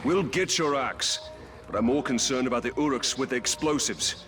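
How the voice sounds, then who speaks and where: A man's voice: medium pitch, normal speed, fairly bright tone, lightly acted. A man playing a grim, resolute human ranger in a video game cutscene, earnest and commanding, with music under his voice. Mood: concerned, dry.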